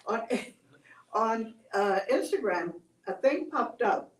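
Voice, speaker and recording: An older woman telling stories and reflecting on them in a gentle, halting, conversational way. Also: on the high side, lively intonation. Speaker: storyteller, host. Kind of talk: oral storytelling and reflective chat. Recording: echoey room, distant mic.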